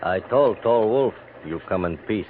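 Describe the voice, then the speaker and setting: Cagey, low-voiced frontier guide. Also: male voice, animated, narrow, tinny audio. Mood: calm, sly. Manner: terse, halting broken English. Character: cagey, calm half-Cheyenne frontier guide, man. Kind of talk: 1950s western radio acting. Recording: noisy, band-limited old radio recording.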